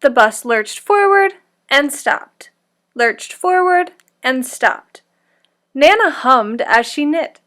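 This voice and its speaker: A woman teacher giving an interactive storybook read-aloud for children, speaking in an instructive, deliberate way. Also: sits high, animated, a bit bright.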